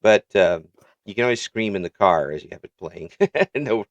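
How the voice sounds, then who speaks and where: A man's voice: fairly low pitch, animated, normal speed, no room sound, highs cut off. A middle-aged man hosting a casual interview, joking and self-mocking with frequent laughs. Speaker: interview host.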